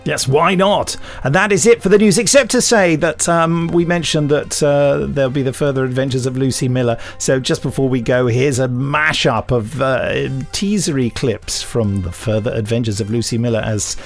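A man's voice: mid-range voice, moderate pace, gravelly, hardly any pauses, faint music behind. A man hosting an audio drama podcast, reading news with wry jokes. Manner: wry, jokey. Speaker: podcast host.

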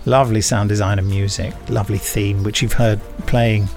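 A man's voice: on the low side, steady tone, normal speed, a little mellow, soft background music. A man hosting a podcast with casual, jokey banter and playful emphasis as he reads out blurbs. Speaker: podcast host.

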